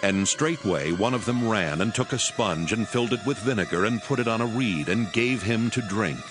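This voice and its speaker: Deep solemn male scripture narrator. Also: lively intonation, over music. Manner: solemn, measured reading.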